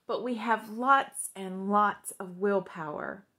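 A woman's voice: medium pitch, slow pace, bright, forceful tone. A woman voicing an earnest, sensible male frog in a storybook, speaking plainly and firmly. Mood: determined.

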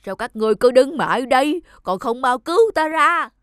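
A woman voicing a grumpy, thieving male dwarf in an animated fairy tale, with a rude, impatient manner. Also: sits high, brisk, slight background noise, voice pushed up. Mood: irritated, scolding. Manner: rude, impatient, snappish, cowardly when threatened. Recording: music under the voice.

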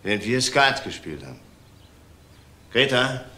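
An actor playing a middle-aged widowed husband in a crime drama, measured, halting and evasive. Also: male voice, medium pitch, sing-song, sharp tone. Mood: subdued, hesitant. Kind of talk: German television crime drama acting.

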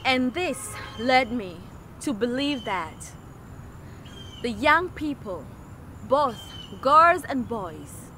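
High-voiced young Tanzanian woman, slow and deliberate. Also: sing-song, sharp tone, a little hiss or hum. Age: young adult. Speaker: non-profit founder and director. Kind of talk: spoken-word performance and scripted personal statement. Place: outdoors in a leafy park.

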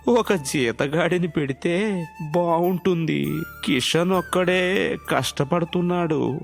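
A man voicing an ailing, gentle old village father in a story, mild and resigned. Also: on the high side, slow pace, gravelly. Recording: music under the voice.

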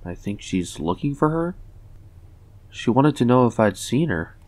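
A man's voice: medium pitch, very animated, unhurried, a little mellow, slight background noise. A young man voicing an anxious, earnest young man in a comic dub, hesitant and doubtful in dramatic dialogue. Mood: uneasy. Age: young adult. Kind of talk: dramatic dialogue and inner narration. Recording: music behind the voice.

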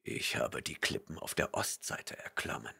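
A burly barbarian warrior growls his questions low and quiet, impatient and menacing, as a male narrator voices him. His voice drops into a hushed, rough register. It is heard in a storybook reading. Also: measured pace, full character voice. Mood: gruff.

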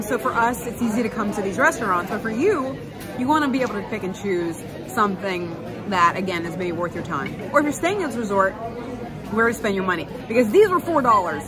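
Chatty woman vlogging in a busy restaurant. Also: a little high. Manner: chatty, lively, quick asides and laughs. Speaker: food vlogger host. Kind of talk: casual food review vlog. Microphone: phone or camera at arm's length. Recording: noisy crowded restaurant. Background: crowd chatter.

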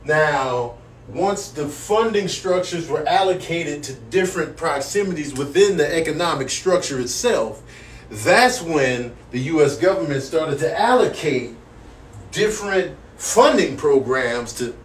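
A man playing an exasperated, teasing economics lecturer in a comic sketch, pompous and halting with drawn-out words. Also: fairly high pitch, animated. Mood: lecturing. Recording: echoey room, faint noise.

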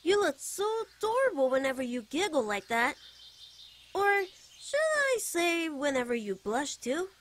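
Woman voicing a high, playful, teasing boy bard. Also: big pitch swings, fairly bright tone, exaggerated voice. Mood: playful, fond. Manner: teasing, giggly, drawn-out phrases. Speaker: voice actor. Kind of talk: ASMR character roleplay.

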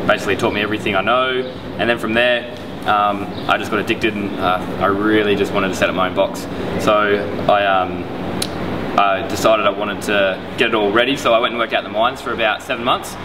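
A man's voice: lowish voice, steady tone, fairly quick. A young man who owns a gym, talking in a laid-back, rambling way with many fillers, recorded in an indoor gym. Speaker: gym owner. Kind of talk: casual interview talk. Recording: distant, noisy gym sound.

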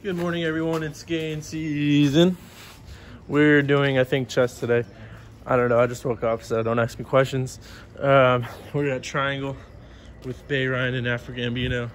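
A man's voice: mid-range voice, flat delivery, normal speed, slight background noise. A man vlogging a workout in casual bro slang, swearing and hyping his friends up. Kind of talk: casual gym vlog chat. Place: outdoor yard and makeshift backyard gym. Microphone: handheld camera or phone. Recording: loud music over the voice. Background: loud music.

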